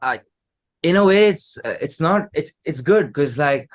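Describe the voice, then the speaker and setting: A man's voice: fairly high pitch, lively intonation, unhurried. A man with an Indian English accent chatting casually as a band musician being interviewed. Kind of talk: casual chat.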